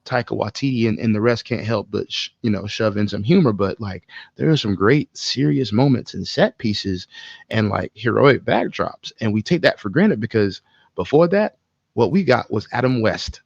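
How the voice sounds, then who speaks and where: A man's voice: fairly low pitch, expressive, moderate pace, creaky, dry recording, lo-fi sound. A man co-hosting a podcast, chatting casually in a blunt, opinionated way. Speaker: podcast co-host. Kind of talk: casual chat.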